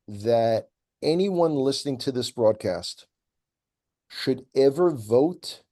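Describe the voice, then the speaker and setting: A man giving conversational political commentary as a guest, speaking in an emphatic, opinionated way and making his points deliberately. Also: medium pitch, dark tone. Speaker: regular guest commentator on a news update programme.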